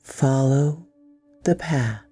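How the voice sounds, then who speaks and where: A woman hosting a guided meditation, speaking in soothing, deliberate phrases with long pauses and a breathy softness. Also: rumbling low, dry recording, soft background music. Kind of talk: slow guided meditation narration.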